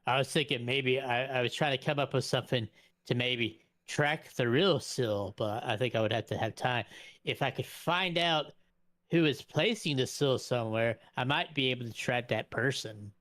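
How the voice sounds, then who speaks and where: A man's voice: medium pitch, normal speed, fairly bright tone, no echo. A man talking casually as a player in a tabletop game.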